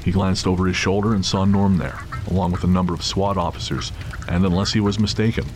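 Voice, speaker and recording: A man's voice: low-pitched, steady tone, fast talker. A man reading a dramatic scripted story as the narrator of an audio drama. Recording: clear background noise and ambience.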